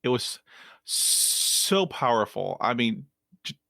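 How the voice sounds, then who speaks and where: A man hosting an interview who stutters, cutting words short and starting them over. He talks very slowly. The recording is dry, with no room sound. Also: mid-range voice, sing-song, creaky, bright, forceful tone, dry recording. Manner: stutters, with broken-off words and repeats. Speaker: podcast host. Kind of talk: casual interview talk.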